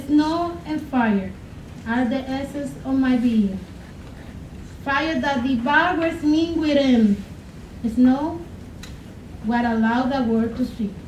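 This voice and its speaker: A young woman reads a poem slowly and dramatically at a podium in a classroom, pausing between words. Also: high voice. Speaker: contestant reading a poem. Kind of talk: slow dramatic poetry reading. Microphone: podium mic, from a far camera. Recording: roomy room, far-off camera, faint noise.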